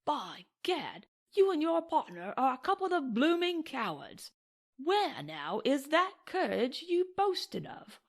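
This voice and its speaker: A woman voicing a pompous, indignant English gentleman blustering in an audiobook western. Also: sits high, sing-song, slow, pitched above the performer's own voice, full character voice. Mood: outraged, scornful. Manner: pompous, blustery British slang.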